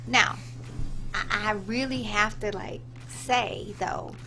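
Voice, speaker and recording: A woman's voice: medium pitch, fairly even intonation, slow, vocal fry, sharp tone, slight background noise. A woman speaking as an insurance agent guest in a radio studio, explaining things in an emphatic, insistent way. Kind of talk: explanatory radio interview talk.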